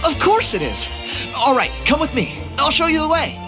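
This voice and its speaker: A man's voice: extremely high pitch, wildly swooping pitch, moderate pace, heavily acted. An actor playing an upbeat young tribesman in a game, chatty, casual and earnest. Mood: grateful, excited. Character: upbeat young tribesman, eager amateur relic hunter. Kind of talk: English game voice acting. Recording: studio voice over game music.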